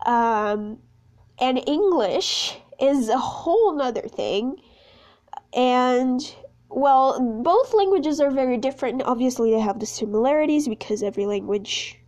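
A woman's voice: sits high, animated, unhurried, darkish tone. A sixteen-year-old young woman from Brazil, a Portuguese speaker, chatting casually and ramblingly as a solo podcast host. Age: teens, sixteen. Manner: rambling, chatty, self-conscious.

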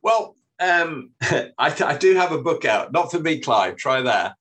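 A middle-aged man from England, a football commentator, telling stories in a relaxed interview with restarts and self-deprecating humour. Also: a little high, unhurried. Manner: chatty storyteller, frequent restarts and self-deprecating laughs. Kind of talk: relaxed anecdotal interview talk.

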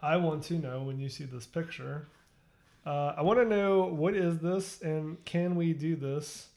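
A woman's voice: low-pitched, measured pace, warm tone. A woman co-hosting a podcast, joking and teasing her friends in casual chat, with some swearing. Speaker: podcast co-host.

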